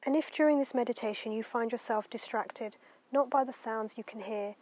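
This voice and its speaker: A woman guiding a meditation in a gentle, unhurried, soothing way, letting her phrases end softly. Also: a little high, no room sound. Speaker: meditation guide. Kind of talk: guided meditation narration.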